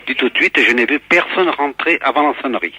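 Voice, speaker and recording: A middle-aged man from southern France, a school caretaker, talking earnestly and haltingly on a phone call, often repeating himself. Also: medium pitch, pushed and bright, slightly rough. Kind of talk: phone conversation. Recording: voice over a telephone line.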